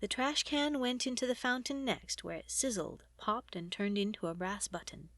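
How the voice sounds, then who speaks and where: A woman narrating a story as an audiobook reader, in an expressive, animated storytelling manner. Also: mid-range voice, normal speed, no room sound, studio quality.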